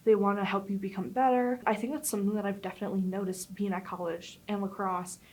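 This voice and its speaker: A woman's voice: medium pitch, steady tone, fast talker, a little mellow, low-quality recording. Earnest young college woman. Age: young adult. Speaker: college student. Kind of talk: documentary interview.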